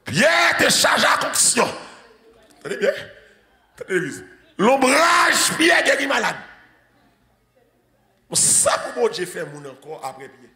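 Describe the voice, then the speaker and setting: Haitian pastor in his forties, bright forceful delivery, slow and chanting, handheld mic in a church hall. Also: very high voice, big pitch swings. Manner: shouting, chanting repetitive preaching. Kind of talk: sermon. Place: large church sanctuary with congregation. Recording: echoey church hall, organ music.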